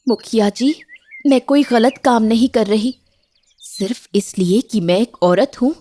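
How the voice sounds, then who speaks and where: A woman's voice: fairly high pitch, unhurried, mellow. A woman voicing an earnest, determined young village shopkeeper in a cartoon, respectful and pleading.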